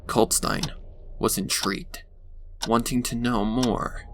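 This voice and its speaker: A man's voice: lowish voice, fairly even intonation, slow pace, vocal fry. A man narrating a scripted dramatic story on a noisy home recording.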